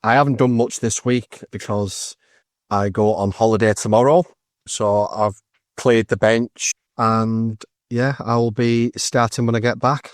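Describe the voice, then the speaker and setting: A man co-hosting a modelling podcast, easygoing and quick with short quips. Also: medium pitch, no room sound, clean studio sound. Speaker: podcast co-host. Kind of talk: casual chat.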